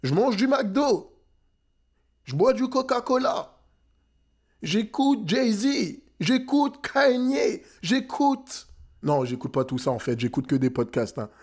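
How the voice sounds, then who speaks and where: A man's voice: sits high, big pitch swings, slow, a little mellow. A young man from France giving a rousing motivational talk, emphatic and dropping English phrases into his French. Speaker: motivational speaker.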